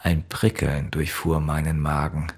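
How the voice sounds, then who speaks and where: A man narrating a first-person story from a script in a measured, wistful way. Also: a bass voice, little pitch movement, breathy edge. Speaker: audiobook narrator.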